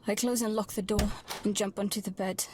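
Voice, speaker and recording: Woman as a hushed, wary young girl narrator. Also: mid-range voice, fairly even intonation, a little fast, background noise, natural voice. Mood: tense. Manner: hushed, breathy, often near whispering. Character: wary, protective young girl narrating her life at sea. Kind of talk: first-person scripted audio drama narration.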